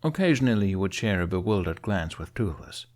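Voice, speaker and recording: A man reading scripted fiction as an expressive audiobook narrator. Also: low voice, fairly quick.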